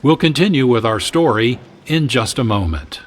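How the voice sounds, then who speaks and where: A man hosting a radio drama, introducing it in a measured, formal scripted style. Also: medium pitch, animated. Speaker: host and announcer.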